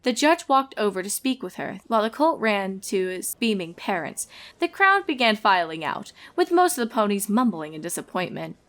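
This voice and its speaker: A woman's voice: medium pitch, big pitch swings, normal speed, hardly any pauses, fairly bright tone. A woman reading a fan fiction story aloud as narrator, in a scripted storytelling style.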